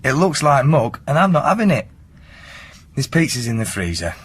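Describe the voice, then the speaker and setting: An actor playing a plain-spoken, domineering working-class boyfriend with a Yorkshire accent in a radio drama. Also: male voice, medium pitch, very animated, moderate pace, husky. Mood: bossy, grumbling. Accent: Northern English, Yorkshire. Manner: matter-of-fact, bossy, plain-spoken.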